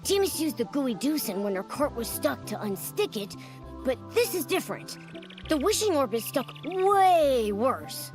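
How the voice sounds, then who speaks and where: A woman voicing a small, chatty, anxious companion creature with an expressive manner in a video game cutscene. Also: high-pitched, measured pace, music in the background. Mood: doubtful.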